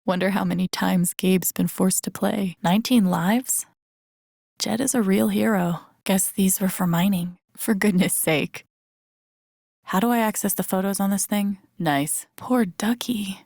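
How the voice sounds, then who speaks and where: A woman's voice: medium pitch, a little slow, vocal fry, darkish tone, no room sound. An actress with an American accent playing an introspective, wry young woman in a video game, murmuring dry asides to herself. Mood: dryly amused. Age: young adult. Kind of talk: naturalistic game inner-monologue acting.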